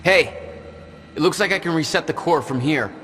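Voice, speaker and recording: A man's voice: fairly high pitch, normal speed, fairly bright tone, close to an everyday voice. Actor as determined American man in echoing hall. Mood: urgent, hopeful. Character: impulsive, determined young man, hero of time-travel thriller. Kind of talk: naturalistic video game acting. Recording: reverberant hall, music behind.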